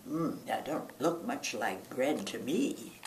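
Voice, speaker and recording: A woman's voice: rumbling low, lively intonation, takes it slowly, full character voice. A woman voicing nosy, skeptical folksy animals in a children's storybook, with a doubtful drawl. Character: nosy, skeptical folksy animals: alligator, raccoon, nutria, armadillo. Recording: roomy, distant mic, faint noise.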